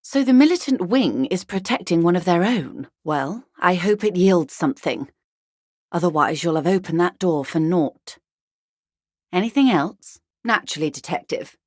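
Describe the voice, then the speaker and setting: A wealthy middle-aged woman negotiator for a video game. Her voice is low and very closely recorded, with no room around it. She speaks in measured, refined phrases, world-weary and dryly ironic. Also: dry recording, clean studio sound. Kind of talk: scripted game dialogue voice acting.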